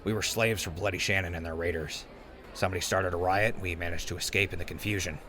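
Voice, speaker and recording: A man's voice: low-pitched, steady tone, quick pace, background noise, lightly acted. A man playing a friendly, wisecracking ghoul wastelander in an audio drama, with a casual, joking manner.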